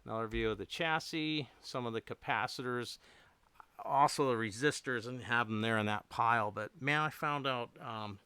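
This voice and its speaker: Clear-voiced man, halting casual chat. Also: mid-range voice, sharp tone, dry recording. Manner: halting, rambling, thinking aloud. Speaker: radio repair hobbyist hosting a livestream. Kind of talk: casual unscripted livestream chat.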